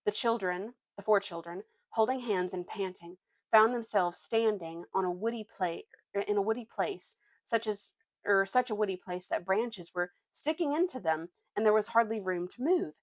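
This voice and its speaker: A woman reading a children's novel aloud as expressive storytelling narration, now and then correcting a slip. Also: medium pitch, moderate pace, no room sound, dull audio.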